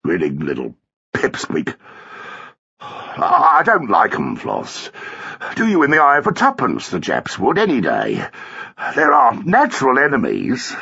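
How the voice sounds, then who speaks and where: A man's voice: medium pitch, huge pitch swings, a little slow, vocal fry, pauses often, pushed and bright, slightly rough, muffled recording, exaggerated voice. A man reading a story and voicing a glum, disapproving, stuffy husband who mutters and grumbles reluctantly. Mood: grumbling, scornful.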